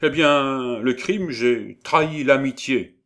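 An impulsive young student acted out by a male reader, pitched above an ordinary low storytelling voice. He is theatrical and exclamatory, accusing himself with great melodrama as in a dramatised storybook. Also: slow pace, fairly bright tone. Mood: melodramatic, exasperated. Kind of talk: dramatised audiobook reading.

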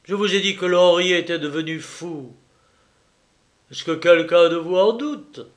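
A man's voice: high-pitched, unhurried, voice pushed up. A man doing a bitter, feverish young man. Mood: vehement. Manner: vehement, sardonic.

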